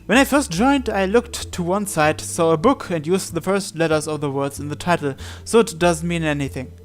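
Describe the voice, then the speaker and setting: Higher-pitched man reading a visual novel aloud. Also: moderate pace. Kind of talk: dramatic read-aloud with asides. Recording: music behind the voice. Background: game music.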